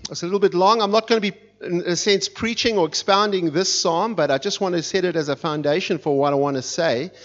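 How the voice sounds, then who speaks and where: A man's voice: a little fast, hardly any pauses. A middle-aged man preaching as a guest in a church, talking with energy and reading scripture with rising force. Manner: energetic, fired up, reads scripture with rising intensity. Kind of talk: sermon. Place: church sanctuary. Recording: dull, muffled low-fidelity sound.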